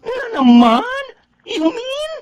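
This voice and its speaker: Sassy, swooping high-voiced Filipina cousin. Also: female voice, very animated, very slow, background noise, heavily acted. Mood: indignant. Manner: exclamatory, mixes English into Tagalog. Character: sassy, protective young female cousin, outspoken. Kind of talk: Filipino radio drama acting.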